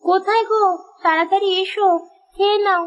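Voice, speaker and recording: A woman's voice: very high voice, steady tone, a little fast, muffled recording. A woman voicing a caring, nagging farmer's wife in a cartoon, coaxing and full of questions. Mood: coaxing, scolding. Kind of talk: cartoon moral-story dialogue.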